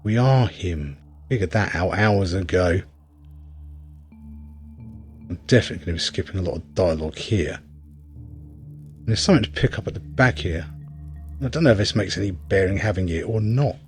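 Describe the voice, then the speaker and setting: A man's voice: deep voice, lively intonation, normal speed, long pauses, faint music behind. A man giving casual gameplay commentary, rambling wryly as he thinks aloud while playing. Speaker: game reviewer and player.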